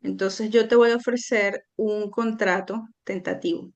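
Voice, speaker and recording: A woman's voice: mid-range voice, fairly even intonation, a little slow. Earnest woman telling her story. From Venezuela. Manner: reflective, earnest, with frequent hesitations. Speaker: guest. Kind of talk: personal storytelling in an interview.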